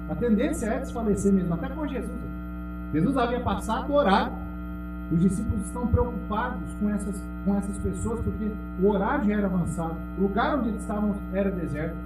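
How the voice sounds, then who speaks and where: Brazilian male pastor, emphatic sermon delivery, distant mic in a very echoey hall, music underneath. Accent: Brazilian Portuguese. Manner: emphatic preaching, calls out to the congregation, drops low and loud on key words. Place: church hall. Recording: echoey, from far off, music behind.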